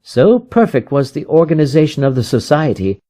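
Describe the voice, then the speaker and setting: An American man narrates a written story as an audiobook reader. His voice is soft and mellow, a little high for a man, with lively, varied intonation. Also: moderate pace. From Arizona, United States.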